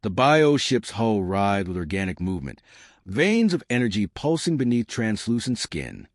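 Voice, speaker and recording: Low-voiced man narrating, very animated pitch. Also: normal speed, dry recording, clean studio sound. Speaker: audiobook-style story narrator. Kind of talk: scripted narration of a sci-fi adventure.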